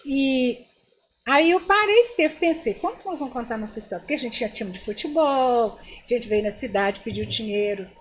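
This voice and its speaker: Older woman telling stories, lively. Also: fairly high pitch, brisk, slight background noise. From Brazil. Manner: animated, addresses listeners directly. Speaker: interview guest. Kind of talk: storytelling interview.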